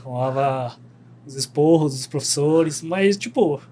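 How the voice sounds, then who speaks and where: A young man, a podcast guest telling stories in relaxed, slangy chat. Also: fairly high pitch, unhurried. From Brazil. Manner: laid-back storytelling, slangy, animated.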